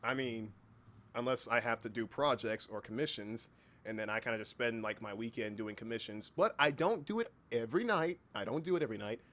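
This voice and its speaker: A man's voice: mid-range voice, brisk, a bit bright. A man hosting a casual livestream chat, speaking informally, with repeated words and occasional swearing. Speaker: livestream host and hobby voice actor.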